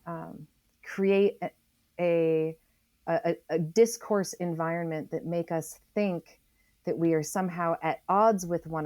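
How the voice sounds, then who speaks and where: A woman speaking as an interview guest, earnest and reflective, deliberately repeating phrases for emphasis. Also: sits low, a little mellow, dry recording. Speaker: interview guest, environmental writer.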